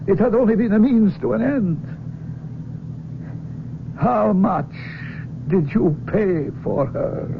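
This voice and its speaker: An actor playing an aging, proud Russian research doctor in a radio drama, speaking formally and deliberately with a Russian accent. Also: male voice, high-pitched, expressive, creaky, exaggerated voice. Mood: bitter. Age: older. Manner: formal, deliberate phrasing, searching for words. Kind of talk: 1970s radio drama acting. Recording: noisy, narrow-band old radio recording.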